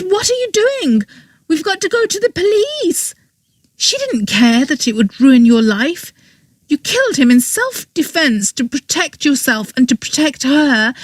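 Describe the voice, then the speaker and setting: A woman's voice: very high-pitched, no echo, voice pushed up. A woman voicing a furious, tearful young woman accused of murder, shrieking and bitter. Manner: shrieking, bitter, near tears.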